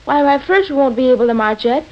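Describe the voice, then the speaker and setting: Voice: high-pitched, animated, brisk. A performer with an American accent playing an earnest, matter-of-fact boy in a 1940s radio comedy. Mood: earnest objecting. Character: earnest, literal-minded American boy, needling his father. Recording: muffled old radio recording.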